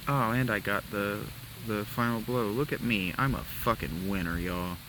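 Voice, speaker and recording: A man's voice: fairly low pitch, moderate pace, background noise. A man from Ohio giving casual, rambling commentary while playing a video game, reminiscing and swearing casually. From Ohio, USA. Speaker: let's play gamer.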